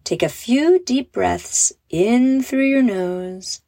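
A woman leading a guided meditation for children in a soft, breathy voice, with a soothing, gentle and encouraging manner. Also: a little high, lively intonation, slow pace, no room sound. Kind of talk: guided meditation, scripted narration.

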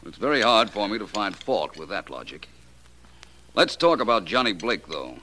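An actor playing a suave, unflappable private detective in a 1940s radio drama, speaking with polished, dry understatement. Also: male voice, fairly low pitch, very animated, moderate pace, sharp tone, a little hiss or hum. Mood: cool, probing.

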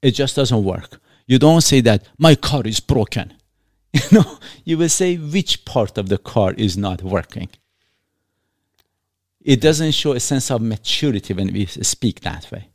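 Man from Iran, podcast host, earnest, explains with examples, mid pitch, dry close sound. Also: animated, measured pace, darkish tone, dry recording. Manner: earnest, explains with examples, non-native phrasing. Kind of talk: reflective conversational podcast.

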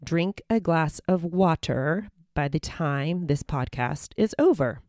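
A woman in her forties, slightly hoarse, hosting a self-help podcast in a bubbly, encouraging way. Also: deep voice, lively intonation, slow, darkish tone, no echo, clean studio sound. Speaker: podcast host.

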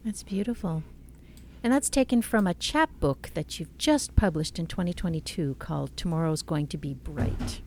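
A woman's voice: on the low side, normal speed, a little mellow, slight background noise. A woman interviewing a poet, asking reflective questions and hesitating now and then.

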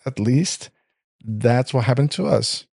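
A man's voice: mid-range voice, slow, creaky, darkish tone, slightly rough, no echo, clean studio sound. A man in his thirties, a native Portuguese speaker, co-hosting a podcast and explaining things earnestly. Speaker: podcast co-host. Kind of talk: podcast narration.

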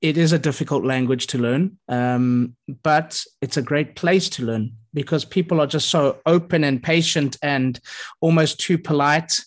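Male interviewer, a touch high-pitched, eager, trips over his words. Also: normal speed. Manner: enthusiastic, stumbling restarts. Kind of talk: casual interview conversation.

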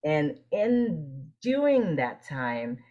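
A woman's voice: deep voice, sing-song, drawn-out words, mellow, lo-fi sound. A woman from Haiti speaking earnestly and assertively in a conversational interview, repeating phrases for emphasis. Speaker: interview guest, optometrist and leadership coach.

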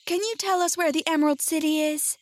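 A woman's voice: high-pitched, fairly even intonation, fairly quick, voice pushed up, close to an everyday voice. A woman voicing a young girl who is earnest, polite and a little anxious, in a storybook reading.

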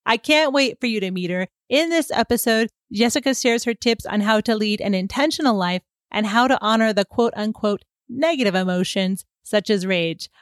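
A woman's voice: mid-range voice, normal speed, hardly any pauses, no room sound, clean studio sound. A woman hosting a podcast, reading an upbeat intro and chatting with a guest, laughing easily. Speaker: podcast host. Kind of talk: podcast intro and casual chat.

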